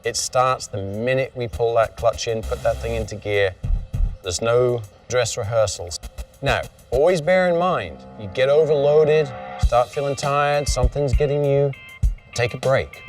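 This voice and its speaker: A man's voice: mid-range voice, moderate pace, darkish tone. A man leading a motorcycle group tour, giving a direct, encouraging briefing to his riders. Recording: distant mic, faint music behind.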